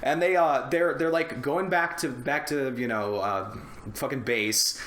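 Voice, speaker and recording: A man talking casually about movies on a podcast, halting and slangy, with plenty of swearing. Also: mid-range voice, fairly bright tone. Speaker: podcast co-host. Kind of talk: casual movie discussion, profane banter. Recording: roomy, echoey podcast recording.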